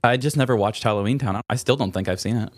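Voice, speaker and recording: A man's voice: fairly low pitch, fast, dry recording, clean studio sound. A man in his thirties hosting a podcast quiz in casual, chatty conversation. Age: 30s. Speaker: podcast host running a quiz. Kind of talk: casual chat.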